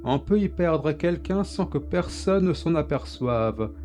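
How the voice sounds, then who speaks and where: A man voicing an elegant, calm male killer who speaks softly, slowly and carefully. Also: fairly high pitch, soft background music, pitched above the performer's own voice. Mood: calmly menacing. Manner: soft, slow and careful.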